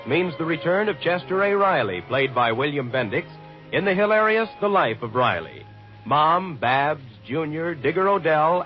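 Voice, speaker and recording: A man reading scripted announcements as a polished, showy 1950s network radio announcer. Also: on the high side. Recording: muffled vintage radio broadcast.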